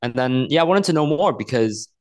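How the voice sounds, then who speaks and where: A man's voice: medium pitch, lively intonation, a little fast. A man in his thirties from Hong Kong, an author answering interview questions in an earnest, explanatory way, often repeating words as he thinks. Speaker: author and guest.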